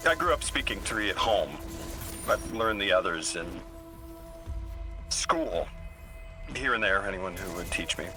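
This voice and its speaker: A man's voice: low voice, lively intonation, a little slow, pauses often, over music. An actor playing an earnest, emotionally open man displaced from his own time in a video game cutscene. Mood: wry, reflective.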